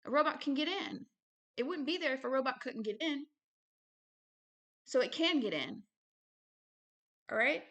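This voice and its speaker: A woman's voice: high voice, expressive, moderate pace, long pauses, a bit bright. A woman giving informal religious commentary while reading aloud from a book, with emphatic repetition and colloquial asides. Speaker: online ministry host reading and commenting on a book.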